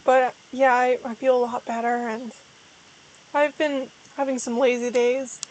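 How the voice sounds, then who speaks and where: A young woman with a hoarse, tired voice, chatting casually and rambling as a streamer answering viewer questions. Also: on the high side, level tone, unhurried, darkish tone, dull audio, a little hiss or hum. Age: young adult. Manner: rambling, self-deprecating, frequent restarts. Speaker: VTuber streamer. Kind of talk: casual livestream chat.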